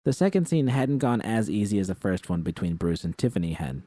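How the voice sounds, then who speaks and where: A man's voice: fairly low pitch, very animated, fairly quick, mellow, dry recording, studio quality. A man reading scripted first-person fiction as an audiobook narrator.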